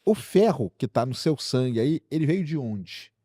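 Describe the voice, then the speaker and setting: A man's voice: medium pitch, lively intonation, moderate pace, mellow, no room sound, clean studio sound. A man with a Brazilian accent hosting a casual science chat, addressing the audience with rhetorical questions and everyday examples. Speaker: science show host.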